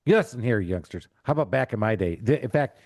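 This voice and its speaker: Low-voiced man hosting a casual podcast. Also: lively intonation, a little fast, darkish tone, no room sound, clean studio sound. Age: middle-aged. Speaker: podcast host. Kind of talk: casual chat interview.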